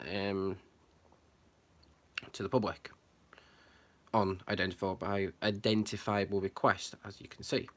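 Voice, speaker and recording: A man's voice: on the low side, a bit bright. A man hosting his own channel, giving unscripted, earnest commentary full of fillers, restarts and hesitations. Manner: earnest, concerned. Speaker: channel host.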